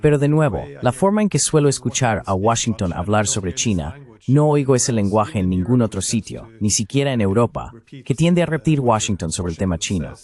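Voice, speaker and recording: A man voicing a translated interview as the interviewer, speaking in a measured, analytical manner. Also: mid-range voice, very animated, a little mellow.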